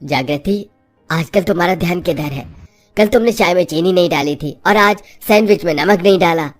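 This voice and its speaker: A woman's voice: on the low side, moderate pace, soft background music, close to an everyday voice. A woman voicing a hungry, grumbling young husband, tired from office work, in a moral-story cartoon. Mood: tired, complaining. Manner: complaining, fed up.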